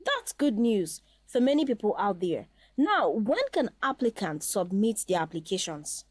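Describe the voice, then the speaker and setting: A woman's voice: mid-range voice, sing-song, moderate pace, no echo. A woman hosting a scripted promotional interview in a polite, formal way.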